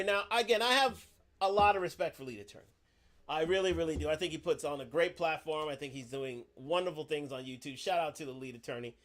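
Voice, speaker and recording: A man with a notably high voice hosts a livestream and sets up a clip. He talks haltingly, stopping and restarting his sentences and leaning on "again" as a filler. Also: fairly bright tone. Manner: hesitant, restarts, repeats "again". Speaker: stream host. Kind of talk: livestream introduction.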